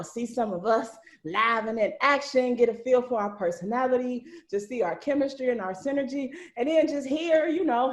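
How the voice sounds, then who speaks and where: Female host, fairly high voice, chatty and informal, roomy video-call sound. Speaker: host of cast meetup, writer-director. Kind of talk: casual hosting chat. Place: indoor room on a video call.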